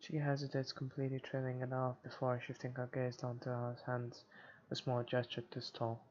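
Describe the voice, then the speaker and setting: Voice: mid-range voice, monotone, moderate pace, darkish tone. A person reading visual novel narration aloud for a game playthrough, with a muffled recording and game music behind. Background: game background music.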